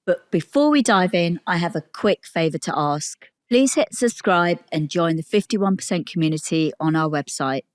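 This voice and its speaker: A woman's voice: lowish voice, lively intonation, normal speed, hardly any pauses, no room sound, studio quality. A woman hosting a podcast, reading an intro then politely inviting her guest to talk. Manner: polite, encouraging with short backchannels. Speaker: podcast host. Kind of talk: scripted intro then interview.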